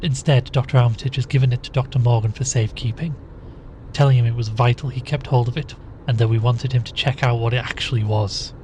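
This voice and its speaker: An actor playing an earnest young male investigator in an audio drama, narrating and questioning in a measured, deliberate, inquisitive way. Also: mid-range voice, steady tone, darkish tone, a little hiss or hum, natural voice. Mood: grave, pondering.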